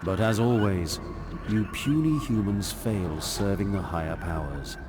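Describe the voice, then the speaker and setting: A haughty, low-voiced fallen angel. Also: male voice, a little slow, noisy background. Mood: scornful. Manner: pompous, grandiose, scornful. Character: haughty fallen angel, traitorous lord of purgatory.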